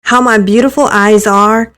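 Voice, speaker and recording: A woman's voice: medium pitch, fairly even intonation, unhurried, fairly bright tone, close to an everyday voice. A woman voicing an insecure, earnest eighteen-year-old daughter in a visual novel reading, hesitant and prone to stammering. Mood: hopeful.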